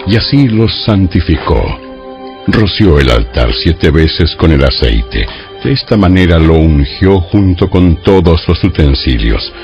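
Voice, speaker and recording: A man narrating a dramatized Bible in a solemn, measured storytelling style. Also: deep voice, vocal fry, a little mellow, lo-fi sound.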